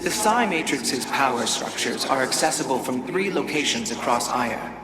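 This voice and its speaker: A solemn alien elder delivers formal mission briefings. The measured, composed voice echoes over loud music, in video game style. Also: female voice, rumbling low, lively intonation. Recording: echoing voice.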